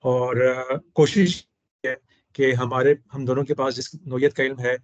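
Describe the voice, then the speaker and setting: A man with a hoarse throat hosting a casual discussion, speaking in a measured, formal way. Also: medium pitch, little pitch movement, no room sound. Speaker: host. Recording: dull, dry lo-fi audio.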